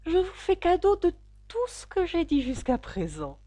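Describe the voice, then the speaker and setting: A woman's voice: very high voice, wildly swooping pitch, moderate pace, mellow, no echo, pitched above the performer's own voice, full character voice. A woman voicing a smug old duchess who lectures in a preachy, self-satisfied way, for a storybook reading. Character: smug, fawning old duchess full of morals.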